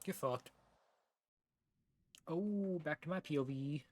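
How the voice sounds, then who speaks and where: A man's voice: on the high side, slow pace. A man giving casual, slangy and sweary commentary as he streams a game story. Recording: game music behind the voice. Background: video game music.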